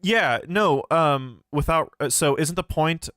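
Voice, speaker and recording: A man's voice: a little high, takes it slowly, fairly bright tone, no echo, studio quality. A young man hosting a philosophy livestream, answering chat questions in a casual, thoughtful way with many fillers and restarts, his voice a bit nasal. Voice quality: somewhat nasal.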